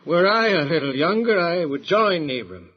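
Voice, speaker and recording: An actor playing an aged patriarch grandfather in a biblical audio drama, with a husky old voice and halting delivery. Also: on the high side, big pitch swings. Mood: earnest appeal. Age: older. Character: frail old patriarch grandfather, addressing his sons. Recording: dull lo-fi radio drama recording.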